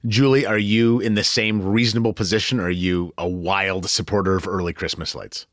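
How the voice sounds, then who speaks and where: A man's voice: low-pitched, fairly even intonation, fairly quick, a bit bright, no room sound, clean studio sound. An American man hosting a conversational news podcast in a colloquial, joking way. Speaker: podcast host.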